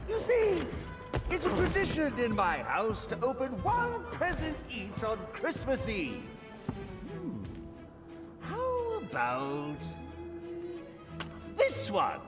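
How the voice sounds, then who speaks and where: A giddy, sing-song clown villain who cackles and taunts, his high voice swooping wildly between squeals and purrs. He drags out every line slowly, savoring it, while a dramatic score swells behind him. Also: huge pitch swings, full character voice. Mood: gleeful taunting. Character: manic cackling clown criminal mastermind, adult man. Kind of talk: video game cutscene acting. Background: music score.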